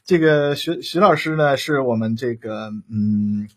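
A man hosting a live interview in a casual, chatty way, often halting and rephrasing as he goes. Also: medium pitch, slightly rough. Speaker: host of a live radio-drama talk show.

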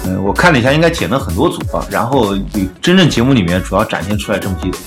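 Fast-talking man hosting over music. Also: fairly low pitch, very animated. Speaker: podcast host. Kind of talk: casual chat. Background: background music.

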